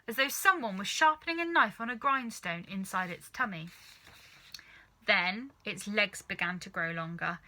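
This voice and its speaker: A woman reading a children's story aloud in an expressive, deliberate narrating style. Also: on the high side. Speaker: storybook reader.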